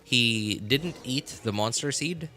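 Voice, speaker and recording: A man's voice: mid-range voice, animated, slow pace, sharp tone, soft background music. A man streaming live, chatting casually and rambling, with many fillers and self-corrections as he answers his chat.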